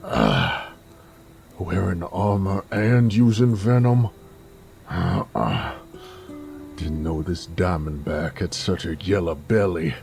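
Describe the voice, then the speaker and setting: A man acts out a roguish werewolf gunslinger with a Southern American Western drawl. He speaks extremely slowly in a low voice, teasing and theatrical, with music behind him. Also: sing-song, creaky, a little mellow, full character voice. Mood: pained, wry. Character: flirtatious, roguish werewolf bounty hunter in a Western. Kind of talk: Western audio roleplay acting.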